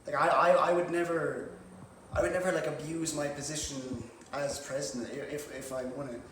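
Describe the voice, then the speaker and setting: A man's voice: fairly high pitch, little pitch movement, moderate pace, a bit bright, lightly acted. A young man playing an awkward, defensive student candidate in a mockumentary sketch, stammering and over-explaining himself. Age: young adult. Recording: echoey room, distant mic, faint noise.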